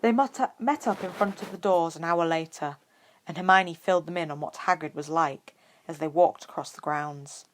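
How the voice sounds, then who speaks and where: Low-pitched woman reading a story aloud. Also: normal speed. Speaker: podfic reader. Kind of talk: narrating fan fiction audiobook.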